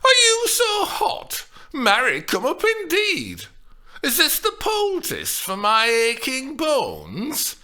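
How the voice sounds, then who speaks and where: A woman's voice: mid-range voice, huge pitch swings, sharp tone, pitched above the performer's own voice, exaggerated voice. A man doing a groaning Lancashire old nurse. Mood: teasing complaint. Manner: moaning, drawn-out, theatrically complaining. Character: older woman acting as a teasing, groaning old nurse.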